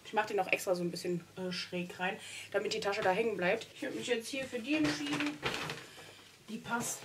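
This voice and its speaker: A woman's voice: mid-range voice, steady tone, brisk, very noisy. A young woman vlogging as she tidies a small storage room at home, explaining each step in a chatty way and laughing often, recorded by a wide-angle camera on a stand. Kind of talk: casual clean-with-me narration.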